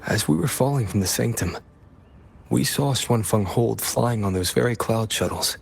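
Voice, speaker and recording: A man's voice: lowish voice, normal speed, creaky, soft background music. A man playing an easygoing, confident young wanderer hero in a video game. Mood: serious. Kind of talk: video game character dialogue.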